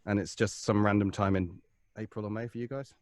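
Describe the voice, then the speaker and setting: A British man in casual group chat, talking in a jokey, halting way. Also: fairly low pitch, fairly even intonation, dry recording, clean studio sound. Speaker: guest performer.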